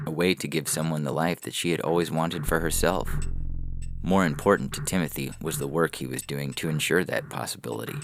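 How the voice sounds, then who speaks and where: A man's voice: very deep voice, a little hiss or hum. A man narrating a scripted science fiction story.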